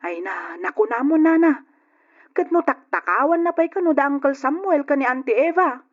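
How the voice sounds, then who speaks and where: A woman's voice: high-pitched, lively intonation, normal speed, narrow, tinny audio. An actress playing a gossipy young woman who speaks in a teasing way in an old-style radio drama. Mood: gleeful gossip.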